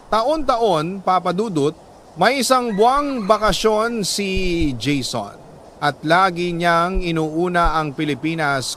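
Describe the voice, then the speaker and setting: A Filipino man reading a sentimental letter as a radio storyteller, in earnest, emotive scripted narration with deliberate phrasing and dramatic pauses. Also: fairly high pitch, a bit bright, a little hiss or hum.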